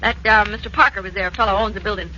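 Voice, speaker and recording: A woman's voice: mid-range voice, fairly even intonation, very fast, bright, forceful tone, a little hiss or hum, lightly acted. A woman playing a plain-spoken, wary cleaning woman in 1940s radio drama style. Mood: wary, blunt. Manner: folksy, blunt, ungrammatical. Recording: muffled 1940s radio recording with music.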